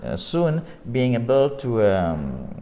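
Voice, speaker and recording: A man from France speaking English with a French accent as a film director in an interview, pausing often with fillers and restarts. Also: medium pitch, wildly swooping pitch, dark tone, long reverb tail. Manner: halting, with many "uh" fillers and restarts. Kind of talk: interview conversation.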